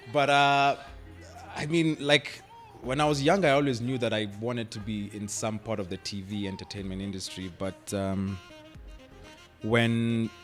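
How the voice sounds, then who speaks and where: A young Kenyan man, an actor, chatting easily in a radio interview, laughing often and filling with "like" and "I mean". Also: mid-range voice. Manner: relaxed, laughs often, uses "like" and "I mean" a lot. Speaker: actor guest. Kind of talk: radio interview, casual chat.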